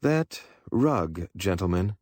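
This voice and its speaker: A man's voice: low-pitched, very animated, slow pace, a little mellow, no echo, studio quality, heavily acted. A man voicing a sinister thin stranger who speaks in a formal, faintly contemptuous way.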